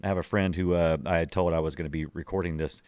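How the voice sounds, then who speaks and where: A man in his forties hosting a podcast, chatting casually and nostalgically and telling stories in an animated way. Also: low voice, fast talker, smooth, no echo. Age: 40s. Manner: animated storytelling with acted-out quotes. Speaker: podcast host.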